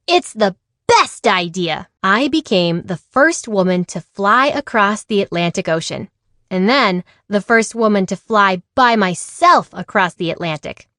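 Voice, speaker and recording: A woman reading a children's picture book aloud in an expressive way, acting out the dialogue. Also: mid-range voice, measured pace, no echo, studio quality. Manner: animated, acts out dialogue. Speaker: read-aloud storyteller.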